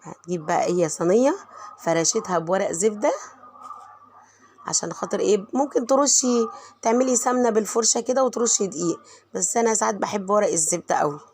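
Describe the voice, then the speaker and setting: Hoarse, chatty Egyptian woman vlogger. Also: mid-range voice, fast talker. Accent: Egyptian Arabic. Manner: warm, chatty, talks straight to viewers. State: hoarse from a cold. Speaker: home vlogger and mother. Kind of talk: chatty voice-over narration of home cooking.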